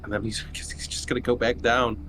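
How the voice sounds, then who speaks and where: A man's voice: medium pitch, level tone, fairly quick. A man playing a tabletop roleplaying game, chatting informally with a hesitant, dry delivery. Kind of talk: casual in-character game chat. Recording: clearly noisy background.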